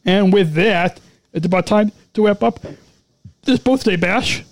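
A man's voice: sits high, measured pace, dry recording. A man co-hosting a casual podcast, signing off with repeated, stumbling, upbeat plugs. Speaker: podcast co-host.

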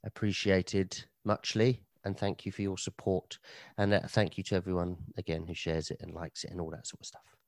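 Deep-voiced joking man. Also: flat delivery, moderate pace, no room sound. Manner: dry, joking, rambling. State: slightly hungover. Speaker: podcast co-host. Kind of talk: casual chat.

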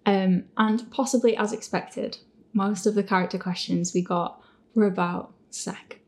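A woman hosting a casual, giggly chat, animated and often acting out imagined reactions. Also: mid-range voice, a little slow. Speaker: host and podcast creator answering listener questions.

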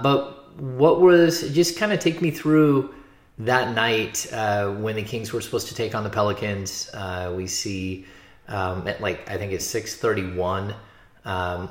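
A man hosting a casual sports interview, asking rambling, halting questions with restarts, recorded in a roomy, echoey space. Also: fairly low pitch, fairly even intonation. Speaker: podcast host, sports interviewer.

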